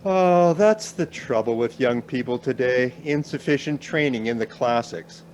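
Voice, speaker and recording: Actor as older male professor, mid-pitched, formal, fussy, cautious, dry radio drama delivery, background noise. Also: unhurried, a little mellow, natural voice. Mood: irritated, stern. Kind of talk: naturalistic radio play acting.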